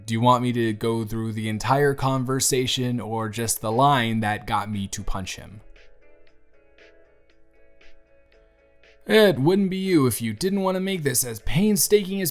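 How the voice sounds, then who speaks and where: Man playing teenage boy best friend, mid-range voice, hesitant, gently wry, self-deprecating, intimate audio roleplay, soft music underneath. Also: medium pitch, lively intonation, unhurried, few pauses, close to an everyday voice. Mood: reluctant. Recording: music behind the voice. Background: soft background music.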